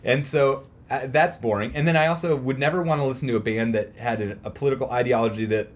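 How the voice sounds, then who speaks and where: Animated-talking, slangy man chatting on a podcast. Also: medium pitch, fast. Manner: profane, emphatic slangy ranting. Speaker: podcast co-host; teacher. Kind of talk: casual opinionated chat.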